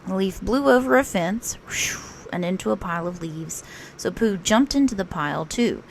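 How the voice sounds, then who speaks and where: A woman reading a children's picture book aloud as a storytelling teacher, expressive and unhurried. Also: medium pitch, gravelly, slight background noise, low-quality recording.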